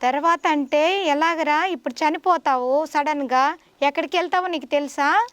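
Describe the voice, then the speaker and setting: High-voiced woman teacher, instructive. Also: moderate pace, a bit bright, no echo. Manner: instructive, patient explaining to a child. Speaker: teacher in a puppet show.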